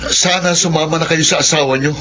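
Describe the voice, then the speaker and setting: A proud, resentful Filipino engineer and eldest son. His voice is sharp and forceful, pitched a little high, in confrontational melodrama style. The recording is dull with faint noise. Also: steady tone, fast talker. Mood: bitter, angry.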